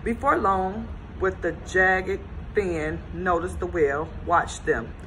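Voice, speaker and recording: A woman reads a children's picture book aloud, gentle and expressive, lifting her voice on the story's turns. Her voice sits on the lower side, with a faint hum of room noise behind her. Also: takes it slowly, rough recording.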